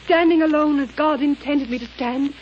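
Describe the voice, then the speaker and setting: An actress playing a frail, earnest invalid wife with halting, dramatic delivery in a 1930s radio drama. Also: female voice, sits high, close to an everyday voice. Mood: fervent, resolute. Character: frail bedridden wife finding fragile, fervent resolve. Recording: scratchy muffled 1930s radio recording.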